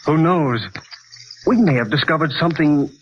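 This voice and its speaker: A man's voice: fairly high pitch, normal speed, close to an everyday voice. An actor playing an earnest, educated amateur investigator in a 1940s radio drama, precise and grave in his delivery. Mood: speculative, intrigued. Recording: muffled, noisy 1940s radio recording.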